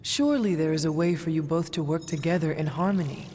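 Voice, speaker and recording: A performer voicing a calm, earnest resurrected warrior in a video game, with formal, measured, old-fashioned speech. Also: medium pitch, a little hiss or hum, close to an everyday voice. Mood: cautioning. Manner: formal, measured, avoids contractions.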